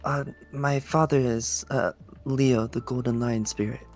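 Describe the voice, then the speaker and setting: A man's voice: mid-range voice, fairly even intonation, a little slow, a little mellow, faint music behind, close to an everyday voice. A man voicing a polite, formal spirit slayer, son of a lion spirit, courteous and hesitant in a fantasy roleplay.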